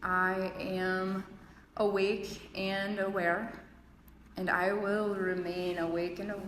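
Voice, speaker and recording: Female meditation guide, calm and very unhurried, measured phrasing, live in an echoey studio with faint noise. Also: mid-range voice. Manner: calm, measured, with long pauses between phrases. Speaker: yoga nidra instructor. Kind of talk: guided meditation, spoken instructions. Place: indoor urban yoga studio, recorded live. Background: faint ambient room and city noise.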